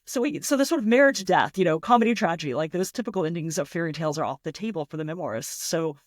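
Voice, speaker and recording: A woman's voice: on the low side, animated, quick pace, no room sound. Thoughtful woman, dry close sound. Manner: reflective, enthusiastic, illustrates points with imagined examples. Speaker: guest writer. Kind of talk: thoughtful interview talk.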